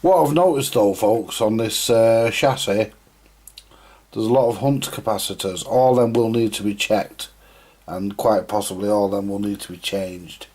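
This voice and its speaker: A man with a husky voice, giving informal, unscripted hands-on commentary as he mutters through a repair, now and then mildly sweary. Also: fairly low pitch, unhurried, a little mellow. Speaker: host of a vintage radio repair video.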